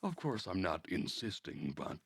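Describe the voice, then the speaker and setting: A man's voice: sits low, big pitch swings, normal speed, creaky, slightly rough, no echo, clean studio sound. A man streaming a visual novel, reading it aloud as narrator with wry, casual asides.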